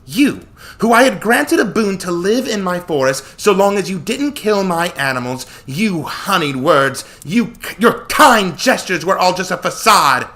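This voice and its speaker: A man's voice: high voice, sing-song, measured pace, pushed and bright, voice pushed up, exaggerated voice. A man voicing a furious, haughty huntress goddess with a barking, imperious delivery in a dramatic story. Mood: scornful fury.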